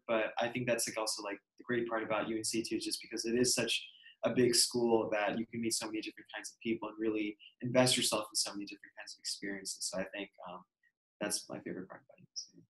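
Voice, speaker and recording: A young man on a student panel, answering questions quickly with many likes and ums. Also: medium pitch, steady tone, a bit bright. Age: young adult. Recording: roomy echo.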